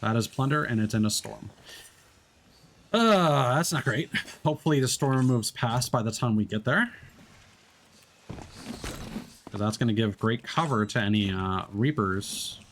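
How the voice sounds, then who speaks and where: A man's voice: medium pitch, expressive, measured pace, pauses often, a little hiss or hum. A man streaming a game, talking casually with lots of fillers and restarts. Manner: rambling, many fillers and restarts. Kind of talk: casual gameplay commentary.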